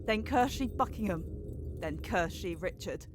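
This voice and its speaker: A woman's voice: mid-range voice, expressive, a little slow, faint music behind, natural voice. A woman playing a dignified, condemned noble prisoner, declaiming solemnly in a Shakespearean audio drama. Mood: solemn lament.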